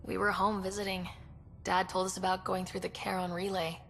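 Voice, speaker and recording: A woman's voice: fairly low pitch, little pitch movement, fairly quick, gravelly, a bit bright, long reverb tail, a little hiss or hum. An actress playing a young woman explorer in a video game, wry and easygoing, with a dry sense of humor. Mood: subdued. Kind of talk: video game cutscene acting.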